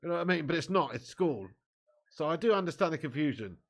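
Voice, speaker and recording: A man's voice: fairly high pitch, lively intonation, quick pace. A cheeky young man with an English accent, hosting a football watch-along live stream and bantering while reading viewer comments. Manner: cheeky, banter-filled, laughs often.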